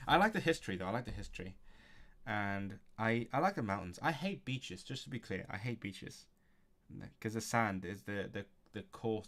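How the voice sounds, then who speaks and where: A man's voice: lowish voice, a bit bright. A man streaming a language study session, reading from a textbook and thinking aloud with frequent chuckles. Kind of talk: casual livestream, reading a textbook aloud and commenting.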